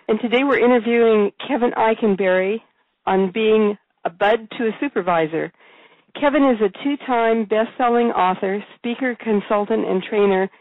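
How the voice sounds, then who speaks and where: A woman hosting an interview, hoarse with a cough and a raspy voice, talking in a loose conversational way. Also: medium pitch, dry recording. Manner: conversational with fillers and restarts. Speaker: host and executive coach. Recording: dry tinny phone line.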